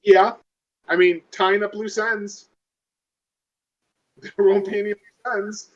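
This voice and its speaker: High-voiced man, dull lo-fi sound. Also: flat delivery, slow pace. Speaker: tabletop game player. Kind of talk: casual game-table chat.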